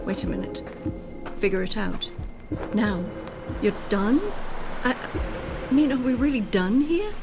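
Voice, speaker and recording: An actress playing an exasperated, sarcastic middle-aged wife in a radio drama. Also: female voice, medium pitch, lively intonation, a little slow, long pauses, over music. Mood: incredulous, probing.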